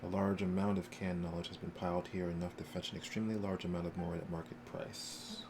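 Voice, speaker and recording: A man's voice: deep voice, little pitch movement, fast talker. A man streaming a video game, reading its text aloud and chatting casually with viewers. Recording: noisy stream with game ambience behind. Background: game sounds.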